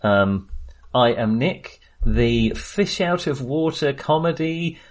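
A man's voice: medium pitch, animated. Guy on a film podcast, kinda hesitant and self-deprecating, just chatting casually. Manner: halting, self-deprecating, informal.

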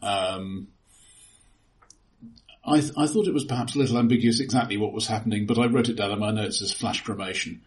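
Low-voiced man, halting and analytical. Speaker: podcast co-host. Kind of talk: casual film discussion.

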